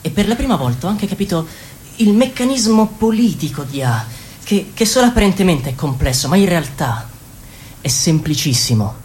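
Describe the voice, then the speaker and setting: A woman playing a wary, analytical party member who thinks aloud in halting phrases in an Italian radio drama. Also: fairly low pitch, sing-song, a little mellow, slight background noise. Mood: realising. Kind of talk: Italian radio theatre, confiding narration.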